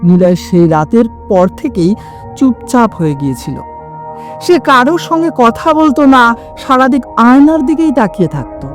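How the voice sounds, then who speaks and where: A woman's voice: fairly high pitch, big pitch swings, moderate pace, soft background music. A woman narrating a scripted horror story in a dramatic storytelling manner, with sudden drops in her voice.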